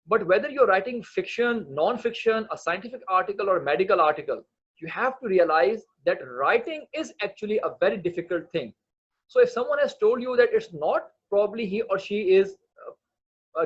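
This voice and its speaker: A man's voice: high-pitched, slightly rough, muffled recording. A man from Pakistan, not a native English speaker, teaching an online training session on research writing, earnest and full of hesitant fillers. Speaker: medical writing instructor.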